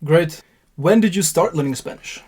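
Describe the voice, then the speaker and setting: A man hosting a podcast interview, asking questions in a measured, deliberate way. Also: fairly high pitch, darkish tone, breathy edge. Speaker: podcast host.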